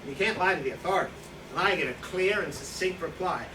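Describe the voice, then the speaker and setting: A man acts out a boastful, boozy self-styled outlaw in a stage play reading. His voice sits high for a man, and he rambles on in smug self-justification. It is picked up from far off in an echoey room with clear background noise. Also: fast talker, pushed and bright. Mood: smug, rambling. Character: boastful, hard-drinking male Slovak profiteer, self-styled outlaw. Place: indoor staged reading, distant microphone.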